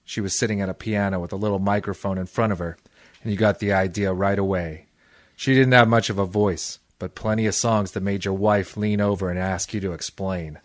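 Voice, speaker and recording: A man playing a hardboiled, cynical private detective in 1940s noir radio style, terse, tough and deadpan. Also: sits low, brisk, dry recording, studio quality, lightly acted. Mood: deadpan narration.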